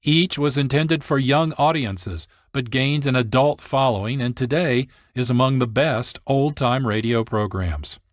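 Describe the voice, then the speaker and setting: Male documentary host, mid-pitched, scripted narration in a dry studio sound. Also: a little slow, hardly any pauses, dry recording. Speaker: producer and host.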